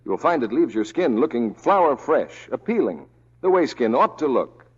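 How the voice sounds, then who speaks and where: A man's voice: medium pitch, sing-song, moderate pace, slightly rough. A man announcing in a polished, emphatic, scripted 1940s radio advertising style. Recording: muffled, reverberant vintage radio recording.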